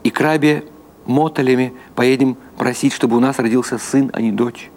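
A man's voice: mid-range voice, steady tone, normal speed, darkish tone, voice pushed up, natural voice. A man voicing a naive, credulous innkeeper's wife who gushes eager questions in Yiddish-flavoured phrasing, in a storybook reading. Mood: eager, hopeful.

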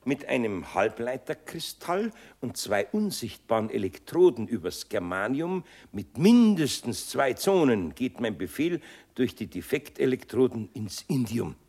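An actor playing a gruff but good-natured older master craftsman with a Bavarian accent and a husky voice, in a children's radio play. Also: medium pitch, sing-song, a little slow, a little mellow. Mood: mock-solemn bluffing. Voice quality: gravelly, husky.

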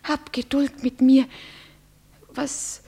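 A woman playing a fearful, devoted young bride, speaking verse in a breathy, often whispered voice. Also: sits high, level tone, takes it slowly, dark tone, heavily acted. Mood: distressed.

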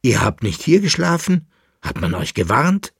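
A man's voice: on the low side, lively intonation, unhurried, breathy edge, no room sound, studio quality. A man voicing a distraught fugitive in an audiobook story, wailing and agitated, full of exclamations and pleading questions. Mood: alarmed.

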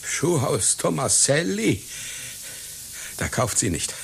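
An actor playing a vain, paranoid circus clown in a satirical radio play, pompous and agitated in manner. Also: male voice, mid-range voice, sing-song, slow, gravelly, slight background noise, full character voice. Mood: fearful, suspicious. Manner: pompous, theatrical, agitated, swinging into despair. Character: vain, paranoid, melodramatic star circus clown artist, male.

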